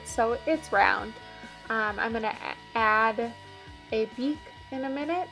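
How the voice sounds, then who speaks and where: A woman's voice: a little high, vocal fry, fairly bright tone, low-quality recording. A woman working as an art teacher, casually explaining a drawing demonstration step by step as she works.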